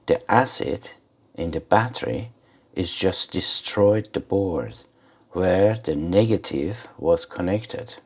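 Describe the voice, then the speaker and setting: A man giving an informal hands-on commentary for a repair video, speaking haltingly in short phrases with pauses. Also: low voice, animated, husky. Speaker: host of an electronics repair video.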